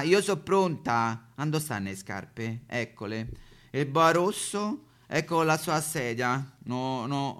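A man's voice: medium pitch, bright, forceful tone. A male actor voicing a vain, brash female Roman prostitute in a theatrical comic monologue, chattering and calling out. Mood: fussing, chattering. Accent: Roman Italian.

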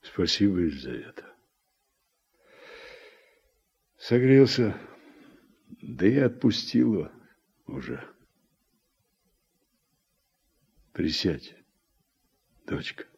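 An actor playing a weary, regretful middle-aged war veteran and farm worker in a radio drama, reflective and plain-spoken. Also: male voice, low voice, big pitch swings, slow, vocal fry, pauses often, a little mellow, husky, heavily acted. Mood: tired, tender. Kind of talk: Soviet radio drama acting. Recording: muffled old radio recording, music behind.

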